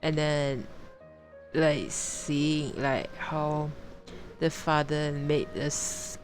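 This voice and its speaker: A woman live streamer talking casually over a game, in a halting, rambling way. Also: deep voice, fairly even intonation, faint music behind. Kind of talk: casual game stream, reading along and commenting.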